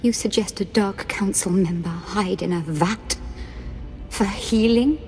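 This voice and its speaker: Woman acting unstable young female sith lord, gravelly, volatile, imperious then self-pitying, game cutscene, faint music behind. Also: mid-range voice, measured pace, darkish tone, lightly acted. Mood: imperious fury. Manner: volatile, lurching from imperious rage to self-pity.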